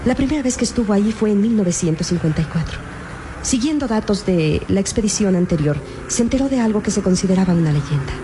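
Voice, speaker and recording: A woman's voice: medium pitch, lively intonation, fast, natural voice. An actress playing an earnest young woman, a devoted daughter, in a radio serial, speaking formally. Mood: earnest, admiring. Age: young adult. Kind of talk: old radio serial acting. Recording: noisy old radio serial, vehicle drone.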